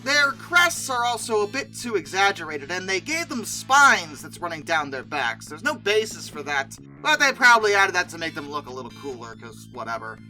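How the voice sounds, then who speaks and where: Super animated youtube host, bright voice, chatty n opinionated, exasperated asides, music behind. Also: mid-range voice, normal speed, few pauses, rough recording. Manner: expressive, exasperated asides and mock disbelief; informal, chatty. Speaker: video host and narrator. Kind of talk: casual opinionated commentary on a ranking video.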